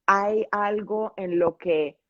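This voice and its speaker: A woman's voice: medium pitch, slow, dry recording, lo-fi sound. A woman with a Colombian accent speaking reflectively as an interview guest, explaining her thoughts and checking in with her listener. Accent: Colombian Spanish. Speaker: podcast guest and grief facilitator. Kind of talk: conversational interview answers.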